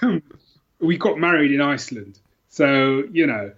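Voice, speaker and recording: Higher-voiced man, slightly muffled recording. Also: expressive, a little slow, fairly bright tone. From London, England. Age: middle-aged. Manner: enthusiastic, rambling, self-repeating. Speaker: podcast guest, author. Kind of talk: casual chat, telling personal stories.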